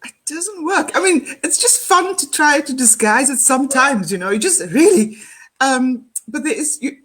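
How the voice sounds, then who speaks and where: A woman's voice: high-pitched, expressive, moderate pace, a bit bright. A woman with a Cape Town South African accent, chatting animatedly on a video call and laughing often. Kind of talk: casual conversation.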